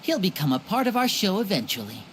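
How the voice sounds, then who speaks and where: A woman's voice: medium pitch, sing-song, fast, a little hiss or hum, close to an everyday voice. A woman voicing a courteous, assured young stage magician in a video game.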